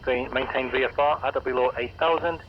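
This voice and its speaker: A man's voice: medium pitch, steady tone, a little fast. A man as an air traffic controller, giving procedural instructions over an aviation radio. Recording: dull, noisy aviation radio.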